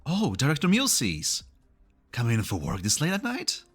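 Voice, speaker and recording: A man's voice: medium pitch, normal speed, slightly rough, faint music behind, heavily acted. A man playing an eager, polite character in a game fan dub. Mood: eager, flustered. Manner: animated, polite.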